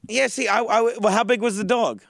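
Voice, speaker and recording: A man's voice: high voice, fairly even intonation, fairly quick, creaky, a bit bright, no room sound, clean studio sound. A man from South Jersey hosting a casual podcast, teasing and joking crudely with his guests. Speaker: podcast host.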